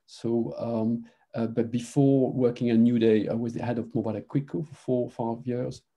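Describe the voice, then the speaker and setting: A middle-aged man with a French accent gives a webinar talk over a video call. His voice is very dark and a little husky. He speaks haltingly and often restarts with "uh" and "um". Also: mid-range voice, fairly even intonation. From France. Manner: halting, many fillers and restarts. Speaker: presenter, mobile engineering manager. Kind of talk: conference webinar presentation.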